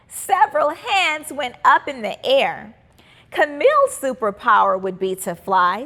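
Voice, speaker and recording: A woman narrates a children's storybook in a high, very animated voice. She takes her time with the lines, reading expressively and deliberately for young listeners. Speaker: children's book reader and host.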